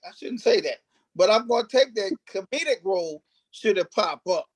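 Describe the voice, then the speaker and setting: A man's voice: high voice, expressive, moderate pace, slightly rough, no room sound. Emphatic man, close dry sound. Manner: emphatic, repeats phrases for stress. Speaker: stage play cast member, actor. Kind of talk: informal panel interview.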